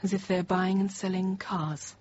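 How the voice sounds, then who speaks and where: An actress in her thirties playing a frightened but composed French teacher held hostage, narrating quietly, often near a whisper. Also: medium pitch, steady tone, measured pace, lightly acted. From France. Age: 30s. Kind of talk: first-person dramatic narration. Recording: dull noisy radio drama recording.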